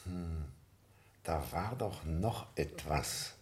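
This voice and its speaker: A man's voice: low-pitched, drawn-out words. An actor in a German radio play playing a commanding male police prefect who questions in an imperious, sardonic way. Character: brusque, commanding male police prefect leading a murder investigation.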